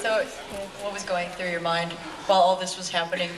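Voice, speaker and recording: A woman's voice: lowish voice, little pitch movement, a little fast. A woman casually moderating a live panel and asking questions. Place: convention panel room with audience. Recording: echoey room with crowd.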